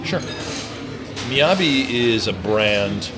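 A man's voice: lowish voice, expressive, very slow, background noise. A man speaking as a company executive, explaining his business in a conversational interview.

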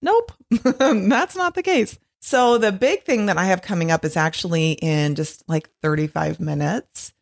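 A middle-aged woman hosting her podcast alone, her voice a little husky, talking in a chatty, rambling way with frequent laughs. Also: on the low side, a little slow. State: slightly husky from long recording. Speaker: podcast host and author. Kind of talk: casual solo podcast monologue.

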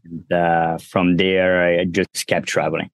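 A man with a Brazilian accent carrying a trace of French speaks in a very low voice. He talks casually and loosely, with plenty of fillers and restarts. The sound is dry and close. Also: measured pace, no room sound. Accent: Brazilian with some French. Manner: relaxed, many fillers and restarts. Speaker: guest entrepreneur. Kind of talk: casual interview conversation.

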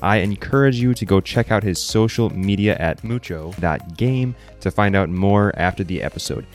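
A man's voice: fairly low pitch, normal speed, soft background music. A man hosting a podcast, asking his guest friendly, encouraging questions. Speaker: podcast host. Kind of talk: podcast interview.

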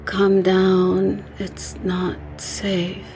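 A woman playing an entranced young sleepwalker in a horror audio drama, mumbling in a slow sing-song way. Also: medium pitch, monotone, darkish tone, faint music behind. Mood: eerie, vacant. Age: young adult.

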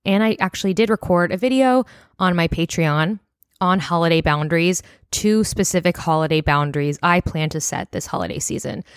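A woman in her twenties, a little hoarse, hosting a casual solo podcast and chatting with lots of fillers. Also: on the low side, moderate pace, no room sound, studio quality. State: slightly hoarse, voice near breaking. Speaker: podcast host.

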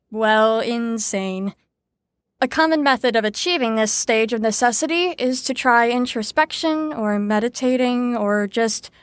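An actress playing a brisk, sarcastic teacher in an audio drama, lecturing in an articulate, wry way. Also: female voice, fairly high pitch, dry recording, clean studio sound, natural voice. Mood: didactic, patient. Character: brisk, sarcastic female teacher explaining psychology theories. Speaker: lecturer leading a group lesson.

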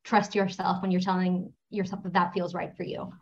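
A woman's voice: lowish voice, level tone, normal speed, a little mellow, a little hiss or hum. A woman who is a chief resident, speaking reflectively in a podcast interview. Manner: reflective, thoughtful. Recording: dull lo-fi sound.

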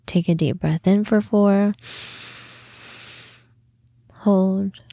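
A woman hosting a guided meditation, speaking in a soothing, unhurried manner with long pauses and softly breathed phrase endings. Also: mid-range voice, steady tone, creaky, no room sound. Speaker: podcast host and meditation guide.

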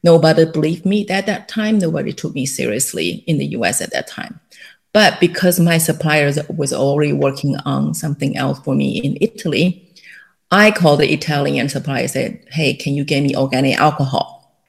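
Middle-aged woman, Taiwanese accent, gravelly voice, easy storytelling interview guest. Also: sits low, normal speed. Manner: storytelling, with fillers and small laughs. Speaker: guest, company founder. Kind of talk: casual interview.

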